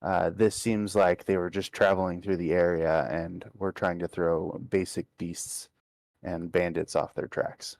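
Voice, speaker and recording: A man's voice: low voice, little pitch movement, a little slow, vocal fry, a little mellow, dry recording. A man playing a tabletop role-playing game, talking casually and hesitantly as he searches for words.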